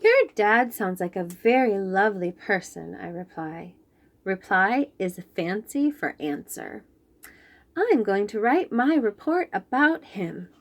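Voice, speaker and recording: A young woman reading a children's picture book aloud, slowly and clearly explaining words. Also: medium pitch. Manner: slow, clear and expressive, explaining fancy words.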